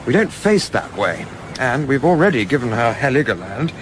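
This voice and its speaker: Man acting polite young english gent, clipped radio play speech, lively pitch, clear background noise. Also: normal speed, close to an everyday voice. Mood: thoughtful. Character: proper young English gentleman official, measured and civil. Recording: clearly noisy ambience behind voice.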